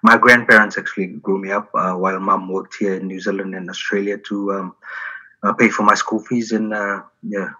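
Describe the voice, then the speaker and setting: A very low, husky man from Fiji speaks haltingly, full of ums and uhs. His tone is bright and forceful despite the depth. The recording sounds dull and lo-fi. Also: flat delivery. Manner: halting, many fillers and restarts. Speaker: poet. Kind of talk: interview, then poetry reading.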